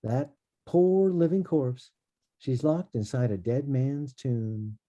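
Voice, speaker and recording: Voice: mid-range voice, slow pace, warm tone, dull audio, natural voice. An actor in a table read over a video call, playing a worried, streetwise male mentor with poetic turns of speech. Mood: worried, resolute. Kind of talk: table read of a stage play.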